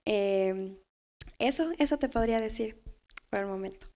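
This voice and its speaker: Young Ecuadorian woman, medium pitch. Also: unhurried, a little mellow. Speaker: podcast guest. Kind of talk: casual self-introduction.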